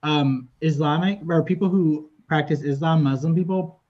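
A man's voice: fairly high pitch, moderate pace, muffled recording. A man from Texas, a guest on a comedy podcast, telling casual, opinionated anecdotes.

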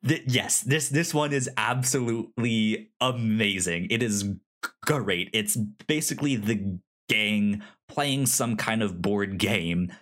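A man's voice: fairly low pitch, slow pace, fairly bright tone. A man chatting casually and enthusiastically about TV as a podcast host, often stammering and repeating sounds. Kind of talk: casual chat about TV shows.

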